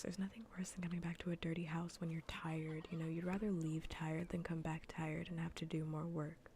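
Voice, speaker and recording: A woman streamer chatting casually in a soft, breathy, often near-whispered ASMR style, rambling and repeating phrases. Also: fairly low pitch, slight background noise. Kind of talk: soft-spoken ASMR gaming stream chat.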